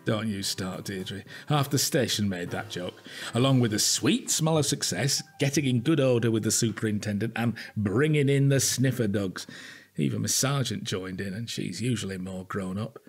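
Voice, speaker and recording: A British actor playing a dry, weary older detective inspector at home in an audio drama. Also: male voice, fairly low pitch, normal speed, slightly rough. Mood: exasperated. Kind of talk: naturalistic audio drama dialogue.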